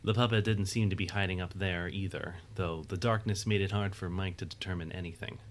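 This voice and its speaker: Low-voiced male story narrator. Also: fairly even intonation, brisk. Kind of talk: scripted audio drama narration. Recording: faint background noise.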